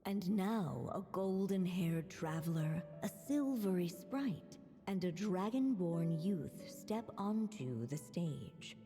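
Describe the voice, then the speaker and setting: An actress playing a composed, wry witch who narrates a video game storybook in a measured, theatrical, faintly condescending way. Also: on the low side, animated, warm tone, in a large hall, soft background music. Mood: measured storytelling.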